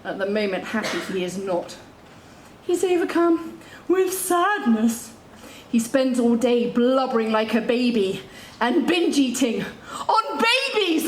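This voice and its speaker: Gloating, high-voiced pantomime villain. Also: female voice, slight background noise. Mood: scornful. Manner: theatrical, sneering, drawn-out cackling delivery. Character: gloating evil pantomime villain, scheming would-be mayor. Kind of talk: stage pantomime acting to the audience. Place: indoor theatre stage. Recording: echoey theatre stage, music behind.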